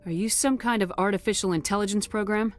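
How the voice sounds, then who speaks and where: A woman's voice: medium pitch, fairly even intonation, quick pace, over music, natural voice. A woman playing a decisive, commanding space squad commander in a sci-fi game. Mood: serious.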